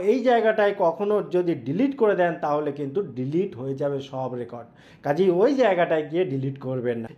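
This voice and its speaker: A man's voice: high voice, a little mellow. A man hosts a tech tutorial. He explains each step directly to the viewer and stresses key points. The recording is somewhat dull and lo-fi. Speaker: tech tutorial YouTube host. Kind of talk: step-by-step spoken phone tutorial.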